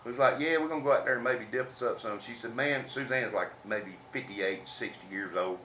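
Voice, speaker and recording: A man's voice: medium pitch, brisk, sharp tone. A man with a Southern US accent telling humorous stories in a folksy, salty, colloquial way. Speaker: comedic storyteller. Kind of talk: informal humorous storytelling. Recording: roomy, distant mic, faint noise, dull sound.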